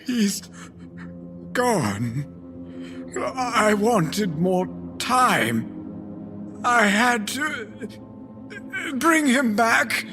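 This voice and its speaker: Elderly wistful grandfather, very slow halting delivery, varied pitch, audio drama over prominent music. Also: big pitch swings, heavily acted. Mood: grieving, yearning. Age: older. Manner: halting, emotional, slow speech.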